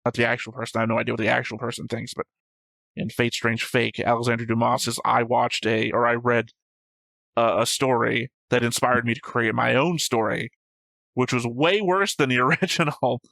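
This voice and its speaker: A man with a medium-pitched voice talks casually as co-host of a reaction show. He rambles and jokes his way through tangents. The sound is close and dry. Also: steady tone, normal speed, vocal fry, a bit bright, dry recording, poor audio. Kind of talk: casual discussion and commentary.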